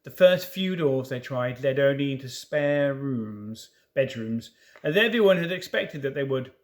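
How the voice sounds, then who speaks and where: A man reading a children's story aloud in an expressive way, with informal asides to listeners. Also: medium pitch, a little slow. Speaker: storybook reader.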